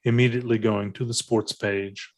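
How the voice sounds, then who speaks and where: A man's voice: on the low side, level tone, moderate pace. A man reading stage directions as scripted narration.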